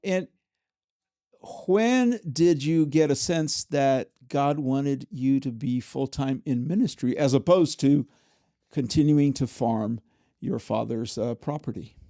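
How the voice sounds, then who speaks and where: A man's voice: mid-range voice, slow pace, warm tone, no room sound. A man hosting a podcast in a studio, asking friendly questions that are dotted with fillers. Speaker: podcast host. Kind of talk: podcast interview.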